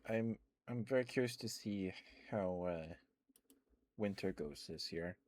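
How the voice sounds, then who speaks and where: A man chatting in a soft, rambling ASMR style, close to the mic, with many fillers and small laughs. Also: low-pitched, measured pace, a little hiss or hum. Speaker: ASMR streamer.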